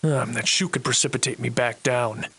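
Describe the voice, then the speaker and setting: A man playing a sardonic, defiant old-fashioned gentleman adventurer in a video game, speaking in florid, archaic, theatrical phrases. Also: medium pitch, moderate pace, creaky, slightly rough, full character voice. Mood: mocking, defiant. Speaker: voice actor.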